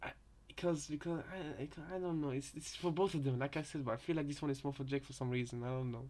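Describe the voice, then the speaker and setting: A man's voice: on the high side, fairly even intonation, fast, a little mellow, slight background noise. A man giving casual reaction commentary, hesitant and repeating himself as he thinks aloud. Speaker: reactor, fan theorist.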